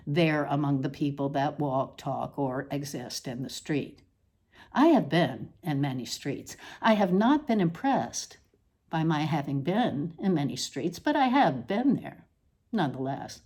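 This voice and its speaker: Female narrator for literary essay, dark relaxed tone, deliberate delivery, highly varied intonation. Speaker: essay reader.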